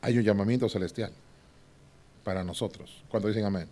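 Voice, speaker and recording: A man teaching a Bible class in a preaching style. He often asks the class to agree and moves between loud and hushed delivery. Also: lowish voice, fairly quick. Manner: swings from loud to hushed, with short laughs.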